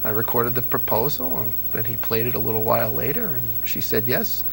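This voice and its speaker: A man's voice: medium pitch, sing-song, fairly quick, creaky, faint music behind, rough recording. A middle-aged man chatting casually as an interview guest, telling anecdotes with enthusiasm and emphatically repeating words. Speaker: interview guest, old-time radio fan.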